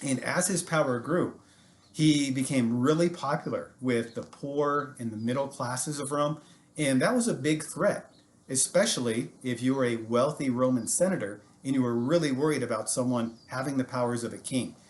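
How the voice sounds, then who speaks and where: An American man, a teacher, tells a history story to students in an animated, casual way with jokey asides. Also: medium pitch, moderate pace.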